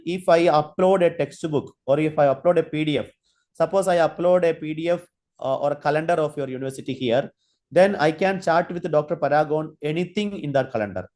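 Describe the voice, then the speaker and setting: A man giving an online training lecture, halting, with many fillers and restarts while he demonstrates. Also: a little high, darkish tone. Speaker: guest lecturer.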